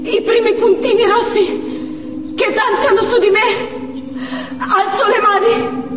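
A woman acting a desperate, resolved young female martyr in a theatrical stage monologue, with slow, declamatory phrasing. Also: very high-pitched, steady tone, far from the mic. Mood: tearful exaltation. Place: theatre stage, picked up from a distance. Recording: echoey theatre hall from afar, loud noise.

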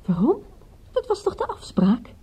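An actress playing a detective's chatty partner in a radio play, breezy, fussing and playfully scolding. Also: female voice, high-pitched, huge pitch swings, moderate pace, dark tone. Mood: breezy, puzzled. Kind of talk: Dutch radio drama acting.

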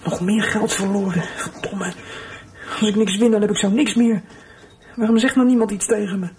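An actor playing a troubled runaway boy in a Dutch radio play, with a husky voice and halting, guarded delivery. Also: medium pitch, steady tone, a little mellow, a little hiss or hum, natural voice. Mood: brooding. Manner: hesitant, halting, guarded answers. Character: runaway boy, evasive, troubled, inward-looking. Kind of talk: Dutch radio play acting with inner monologue.

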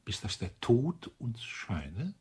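A smooth, scheming husband in a radio drama. His voice is deeply husky and unhurried, and it glides up and down with mock tenderness. He sounds like a man with many dead wives behind him. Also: sing-song, a little mellow, full character voice. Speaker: actor.

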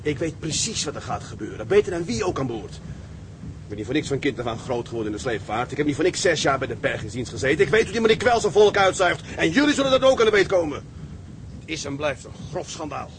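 A man playing a bitter, stubborn, seasoned tugboat seaman who argues with forceful indignation, in radio drama style. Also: on the high side, very animated, fast talker, noisy background. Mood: scornful, then outraged.

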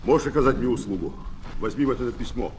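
An actor playing a stern, protective barricade commander in a dramatic Soviet radio play. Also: male voice, mid-range voice, quick pace, background noise, close to an everyday voice. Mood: concerned. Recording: roomy 1970s flexi-disc drama, music behind.

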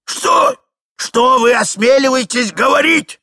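A man voicing an indignant old gentleman husband, with sputtering, emphatic exclamations in a theatrical reading of a literary story. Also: fairly high pitch, sing-song, takes it slowly, vocal fry, breathy edge, no echo, clean studio sound, voice pushed up, full character voice. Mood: outraged. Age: older.

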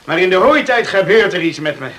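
A man's voice: high voice, animated, a little fast, a bit bright. A male actor playing an eager, hardworking and confident young farmer's son in a radio play with some background noise. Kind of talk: radio drama dialogue. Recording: noisy old radio play recording.

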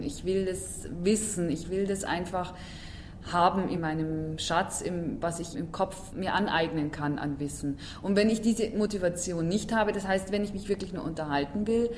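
Eine Frau, die in einem Interview frei und nachdenklich abwägend spricht. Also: female voice, fairly low pitch, a little mellow. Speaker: bookseller, interviewee. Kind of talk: spontaneous interview talk. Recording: roomy, background ambient noise.

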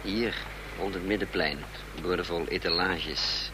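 Casting: sardonic, protective fugitive agent for radio drama, lowish voice, noticeably noisy tape. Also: male voice, steady tone, measured pace. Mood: dry, scheming. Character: wily male fugitive agent, sardonic, protective of his companion. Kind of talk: Dutch radio play acting and first-person narration. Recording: noisy radio play recording.

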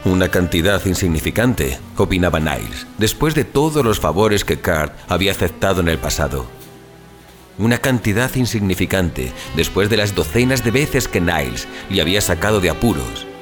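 A man's voice: low-pitched, sing-song, moderate pace, faint music behind. A man narrating a scripted story in a dramatic audio fiction style.

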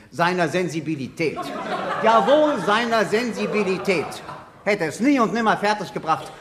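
A man's voice: a little high, fairly bright tone, roomy sound. An actor playing an earnest defense lawyer in a radio crime drama, pleading formally for his client. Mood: impassioned.

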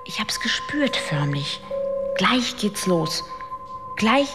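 A woman's voice: fairly high pitch, huge pitch swings, slow pace, over music, heavily acted. An actress playing an introspective, entranced woman recalling her experiences in a German radio drama. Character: introspective, entranced female swarm member. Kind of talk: German radio drama acting, hushed recollection.

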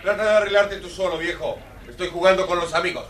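An actor playing an arrogant, spoiled young son, imperious and insolent, in a children's audio story. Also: male voice, sits high, fairly even intonation, quick pace, a bit bright. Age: young adult. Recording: old record, distant from mic, background noise.

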